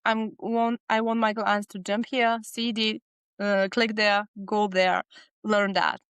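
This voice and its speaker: A woman's voice: mid-range voice, level tone, takes it slowly, fairly bright tone, smooth, no room sound. A woman from Bulgaria speaking English with a Bulgarian accent, a business psychologist in a casual podcast interview who talks in short, earnest listed fragments.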